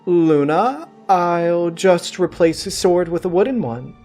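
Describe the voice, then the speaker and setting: A man's voice: high-pitched, animated, slow, a little mellow, soft background music, pitched above the performer's own voice. A man voicing a lazy, petulant female pony ruler with self-important royal phrasing in a comic storybook. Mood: apologetic.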